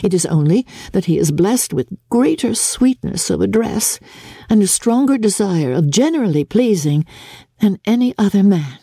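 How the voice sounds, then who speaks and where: A woman voicing a sweet, modest young gentlewoman who speaks formally and sincerely in a dramatic storybook reading. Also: medium pitch, expressive, moderate pace, breathy edge, no echo, clean studio sound, pitched above the performer's own voice. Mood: earnest protest.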